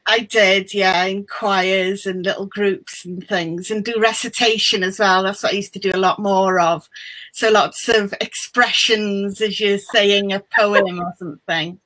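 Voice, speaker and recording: A woman's voice: mid-range voice, fairly even intonation, measured pace, fairly bright tone. A middle-aged woman from Wales with a Welsh accent, a native Welsh speaker, chatting casually as an interview guest over a video call. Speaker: guest, book mentor.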